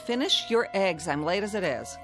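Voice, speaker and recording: An actress playing a weary, impatient wife in a radio drama, dryly sarcastic and scolding. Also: female voice, medium pitch, animated, normal speed, soft background music, close to an everyday voice. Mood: dry, suspicious.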